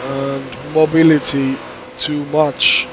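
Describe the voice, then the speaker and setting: A hoarse man, recovering from the flu, giving halting gameplay commentary with many pauses and restarts. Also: a little high. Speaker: sim racing gamer. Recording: loud steady background noise.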